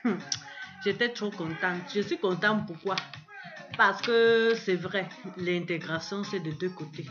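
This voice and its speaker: A woman, a native Italian speaker, talks straight to her viewers in a playful, slangy way, dropping English words into her speech. The clip sounds dull and lo-fi, and clear music runs underneath her voice. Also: medium pitch, measured pace. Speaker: social media video maker. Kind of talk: playful selfie video monologue.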